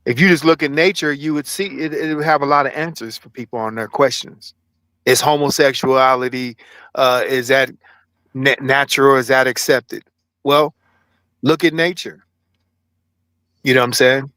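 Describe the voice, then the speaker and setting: A man's voice: mid-range voice, steady tone, normal speed, pauses often, sharp tone, dry recording. A man hosts a livestream in loose casual chat. He rambles, swears freely and sounds sure of himself, and the sound is dry and close. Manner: rambling, profane, self-assured. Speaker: livestream host.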